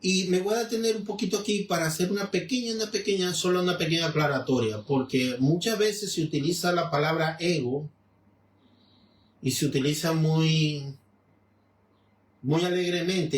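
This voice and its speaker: A man reading a devotional text aloud and explaining it in a measured way with frequent restarts. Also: fairly high pitch, recorded from a distance. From Venezuela. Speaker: religious lecturer reading and commenting. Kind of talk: spoken reading with commentary. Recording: echoey room, off-mic with faint noise.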